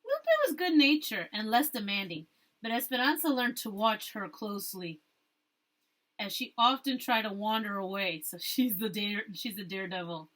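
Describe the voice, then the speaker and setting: A woman teacher reading a storybook aloud, rereading stumbled phrases and pausing to explain things to her listeners. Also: medium pitch, animated, a bit bright, low-quality recording.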